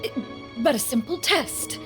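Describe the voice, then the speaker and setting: An actress playing a proud, dignified ancient immortal mentor in a video game, speaking in a pompous, formal manner. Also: female voice, high-pitched, lively intonation, a little slow, over music. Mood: flustered, dignified. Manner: pompous, formal, calls herself "one". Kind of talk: video game character acting.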